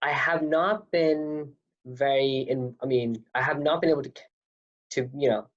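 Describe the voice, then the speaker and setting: A man's voice: medium pitch, fairly even intonation, measured pace. A man in his thirties from Pakistan, talking as an interview guest in a rambling, self-correcting way. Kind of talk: casual interview talk. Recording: dull lo-fi audio.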